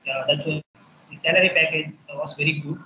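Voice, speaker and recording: A young man from Tamil Nadu with a Tamil accent, a native Tamil speaker practicing English in a halting, hesitant way over a call. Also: a little high, steady tone, pushed and bright, echoey room, muffled recording, a little hiss or hum. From Tamil Nadu, India. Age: 20s. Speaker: English learner. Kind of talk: casual conversation practice.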